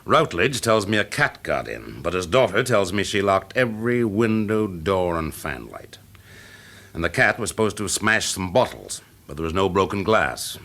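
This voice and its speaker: An actor playing a shrewd, wry fire investigator with a British accent in a classic radio drama, explaining things drily. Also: male voice, low voice, sing-song, normal speed, fairly bright tone, exaggerated voice. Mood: confident, wry. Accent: British English.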